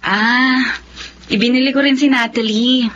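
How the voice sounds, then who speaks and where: Kind, higher-pitched young Filipina. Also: female voice, steady tone, unhurried, fairly bright tone, muffled recording, slight background noise. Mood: grateful. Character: kind, responsible young schoolteacher daughter. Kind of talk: Filipino radio drama acting.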